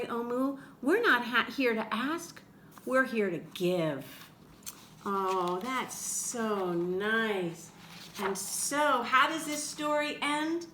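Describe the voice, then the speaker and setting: A woman reading a picture book aloud to children in an expressive way, with chatty asides to her young listeners. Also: on the high side. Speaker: read-aloud storyteller for children. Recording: roomy echo with faint background noise.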